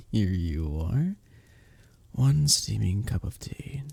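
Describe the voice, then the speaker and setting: Man acting a husky, lively medieval innkeeper. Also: lowish voice, slow pace, dry recording, full character voice. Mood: reassuring, warm. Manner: old-fashioned formal wording, teasing storyteller. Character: flirty, good-humoured male innkeeper of a medieval fantasy village. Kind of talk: scripted roleplay audio acting, intimate ASMR.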